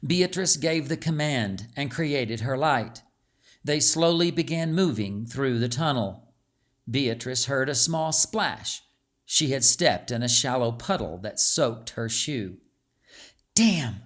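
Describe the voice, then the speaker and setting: A man's voice: mid-range voice, lively intonation, takes it slowly, a bit bright. A man reading a story aloud as a scripted audiobook narration.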